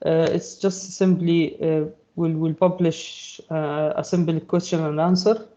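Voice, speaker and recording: A fairly high-voiced man whose first language is Arabic walks through a technical topic in a halting, filler-heavy way. His tone is mellow and soft. The sound is dull and lo-fi. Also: level tone. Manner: halting, many fillers and restarts. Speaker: presenter. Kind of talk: technical talk.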